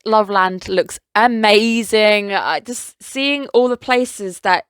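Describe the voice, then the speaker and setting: A young woman in a casual solo review, gushing as an excited fan and rambling through self-corrections. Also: mid-range voice, takes it slowly, clear, resonant tone, no echo, studio quality. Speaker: anime reviewer.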